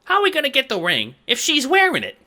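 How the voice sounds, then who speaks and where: A man voicing a small, bald, excitable male henchman in an audio drama, squeaking out his lines eagerly. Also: quick pace, full character voice. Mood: excited.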